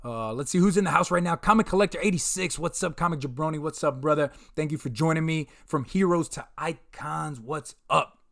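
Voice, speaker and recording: A man's voice: on the high side, fairly quick, vocal fry, hardly any pauses, fairly bright tone. A man hosting a live comic review stream in casual chat, laid-back and slangy, often stumbling and repeating words. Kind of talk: casual livestream chat, reading viewer comments.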